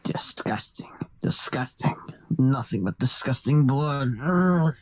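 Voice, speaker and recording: Man voicing a slow, menacing bloodthirsty bat devil. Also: mid-range voice, expressive, darkish tone. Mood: disgusted, hungry. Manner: slow, theatrical, menacing delivery. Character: monstrous bat devil, bloodthirsty, disgusted gourmand. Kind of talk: live anime fan dub.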